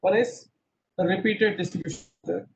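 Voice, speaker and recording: High-voiced teacher guy on a webcam call, mixes hindi into english, keeps asking the class questions. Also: fairly quick, slight background noise, rough recording. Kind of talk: online class lecture, quizzing students. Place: small room indoors. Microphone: laptop webcam on a video call.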